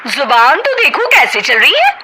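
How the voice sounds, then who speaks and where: Picture a shrill, scheming young sister-in-law as a woman puts her on, taunting and demanding in a sharp, piercing tone. Her voice leaps high and swings wildly from line to line. She is as bossy as a cartoon household villain. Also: animated, normal speed, a little hiss or hum, heavily acted.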